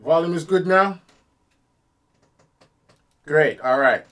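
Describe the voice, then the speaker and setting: A man teaching piano on a live stream, chatting casually with viewers and working through his setup out loud. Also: a little high, slow. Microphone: streaming mic.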